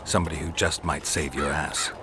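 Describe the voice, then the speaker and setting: A man playing a stoic, wry mercenary monster hunter in a fantasy video game, terse and deadpan. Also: extremely low pitch, a little fast, a little hiss or hum. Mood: dry, wry. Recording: music behind the voice.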